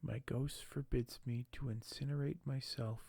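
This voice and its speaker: A man doing ASMR in a soft, hushed, often whispered voice, halting and unhurried as he shows items and reads aloud close to the microphone. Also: medium pitch, steady tone, creaky, husky, dry recording. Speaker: ASMR video creator. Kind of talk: ASMR unboxing and reading aloud.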